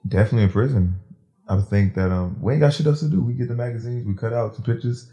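A man's voice: mid-range voice, fast, mellow. A man in his thirties hosting a podcast, laid-back and slangy, asking blunt questions. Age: 30s. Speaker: podcast host. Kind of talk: casual interview chat.

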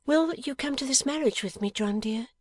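A woman's voice: sits high, normal speed, no room sound, clean studio sound, voice pushed up. A woman voicing a pouting, affectionate young wife in a storybook, coaxing and emphatic. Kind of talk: dramatised audiobook character.

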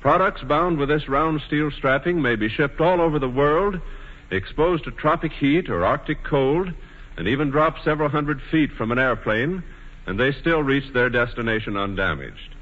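A man reading scripted sponsor copy as a 1940s radio spokesman, in a formal, measured announcer delivery. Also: mid-range voice. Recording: dull, reverberant 1940s radio broadcast.

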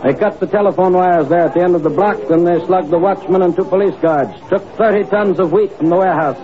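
A man's voice: sits high, quick pace, lightly acted. A man playing a dutiful police inspector in an old radio drama, reporting in a precise, formal way. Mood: formal, grave. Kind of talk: 1950s radio drama acting. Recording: muffled old radio recording, background crowd.

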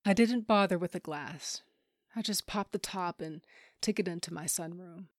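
Actress as low-voiced broken widow. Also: female voice, moderate pace, noisy background, lightly acted. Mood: bitter, numb. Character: grieving, bitter, emotionally broken widow and monster hunter. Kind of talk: first-person audio drama narration with acted scenes.

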